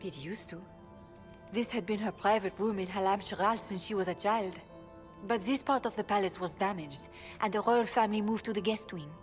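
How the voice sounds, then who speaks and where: A woman's voice: medium pitch, moderate pace, faint music behind, lightly acted. A woman playing a nervous, wary palace servant in a fantasy game, hesitant and uneasy. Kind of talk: fantasy game cutscene acting.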